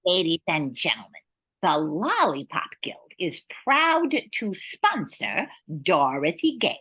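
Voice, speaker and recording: A formal female announcer from an old-time radio play , as acted by a woman. Her husky voice is forceful and measured, with wide swings in pitch and an easily exasperated temper. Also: huge pitch swings, full character voice. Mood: storytelling. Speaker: announcer and narrator.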